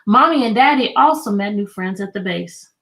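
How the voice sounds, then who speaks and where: A woman teacher reading a children's book aloud, expressive and deliberate, prompting young listeners with questions. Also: medium pitch, a little hiss or hum.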